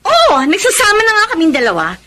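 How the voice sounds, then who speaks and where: An actress playing a brazen, unapologetic mistress in a Filipino radio drama, taunting, with a Filipino accent. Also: female voice, extremely high pitch, huge pitch swings, brisk, pushed and bright, full character voice.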